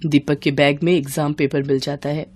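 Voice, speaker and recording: A woman's voice: low voice, flat delivery, moderate pace, a little mellow. A woman narrating a scripted moral story for children.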